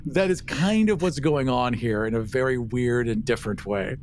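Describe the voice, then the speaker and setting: A man's voice: medium pitch, normal speed, darkish tone, a little hiss or hum. A man chatting casually and offhandedly in character as a player in a tabletop game. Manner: breezy, offhand, peppered with "like" and "right?". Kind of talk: casual improvised in-character chat.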